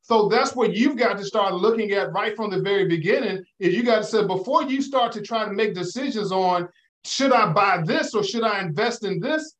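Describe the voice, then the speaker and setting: This is a man with a high voice answering listener questions as a financial advisor. He works in rhetorical questions and uses analogies. He keeps checking that the listener is with him, the way a preacher would. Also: normal speed, a bit bright, rough recording. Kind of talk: call-in advice talk.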